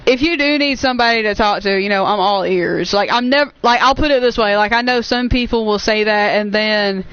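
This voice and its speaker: Woman, late teens, clear smooth voice, casual gaming chat, earnest, reassuring, rambling, muffled lo-fi recording. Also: mid-range voice, brisk, fairly bright tone. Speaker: gaming YouTuber hosting a stream. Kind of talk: casual chat over gameplay.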